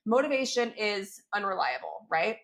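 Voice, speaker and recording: A woman hosting a fitness podcast while she has a cold, her voice crackly and a little squeaky, talking in an upbeat, chatty way and often checking in with her listeners. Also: animated, moderate pace. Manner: upbeat and chatty, keeps checking in with "right?" and "okay?". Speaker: fitness coach and podcast host. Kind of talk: solo podcast, coaching talk.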